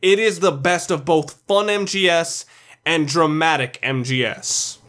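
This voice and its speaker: A man's voice: on the high side, unhurried, fairly bright tone. A man giving scripted commentary as the narrator of a countdown video essay.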